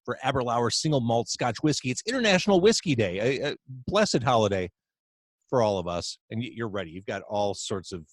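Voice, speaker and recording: A man's voice: medium pitch, fairly quick, no echo. A man hosting a casual video chat podcast from home, with a jokey, playful manner. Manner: lively, jokey. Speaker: podcast host. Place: at home in quarantine. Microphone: video call.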